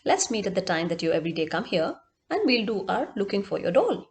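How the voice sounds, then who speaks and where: A woman voicing a kind, patient gentleman in a storytelling, speaking in a gentle, reassuring manner. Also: mid-range voice, very animated, fairly quick. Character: kind, patient gentleman stranger helping a child.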